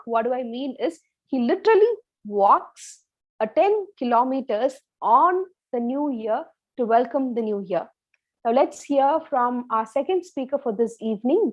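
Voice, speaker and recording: A woman's voice: a little high, muffled recording. A woman, politely introducing speakers as meeting host over a video call. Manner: polite, ceremonial.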